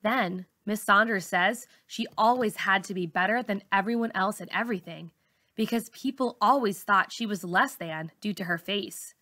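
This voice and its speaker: A woman's voice: mid-range voice, moderate pace, sharp tone. A woman teacher reading a first-person children's novel aloud for students, as narration.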